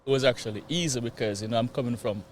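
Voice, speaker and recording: A man from Jamaica with a Jamaican accent, a guest chatting casually in an interview, relaxed and quick to laugh. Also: mid-range voice, crowd noise. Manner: relaxed, laughs often, repeats "yeah" and "you know". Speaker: guest, real estate investor.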